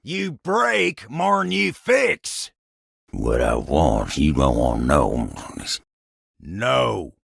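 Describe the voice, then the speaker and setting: Game role: earnest, kindly country feller, gravel-voiced, unhurried American Southern delivery, recorded very dry. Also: male voice, low voice, wildly swooping pitch, fairly bright tone, dry recording, full character voice. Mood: irritated, grumbling. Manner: folksy drawl, humble and earnest. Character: gentle, folksy living table, cowboy-like helper. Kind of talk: video game character voice acting.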